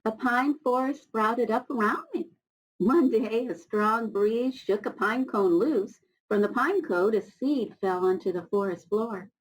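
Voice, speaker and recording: A woman's voice: fairly high pitch, measured pace, poor audio. An American woman voicing an ancient, good-natured male rock who reminisces in a children's storybook. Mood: reminiscing.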